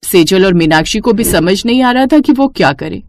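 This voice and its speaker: A woman narrates a cartoon story with expressive, animated delivery. Her pitch moves a lot and sits in a middle range. The sound is dry, with no room around it. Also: fairly quick, dry recording.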